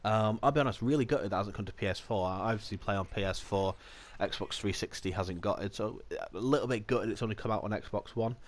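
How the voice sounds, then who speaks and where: A man with a bad cold, slightly nasal, hosting a casual gaming channel update in a chatty, relaxed way. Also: lowish voice, brisk. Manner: chatty and relaxed, with small laughs and restarts.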